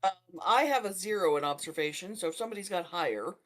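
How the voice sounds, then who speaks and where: A woman's voice: fairly low pitch, expressive, fast talker, a bit bright. A woman playing a tabletop roleplaying game, talking casually and in character.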